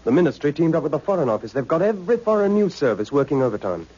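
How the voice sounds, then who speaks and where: A man's voice: a little high, sing-song, racing, mellow, slightly rough. A man playing a keen, blunt newsman in an old radio science fiction drama, with punchy, informal, urgent delivery. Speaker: supporting actor in radio serial. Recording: muffled, dull old radio recording.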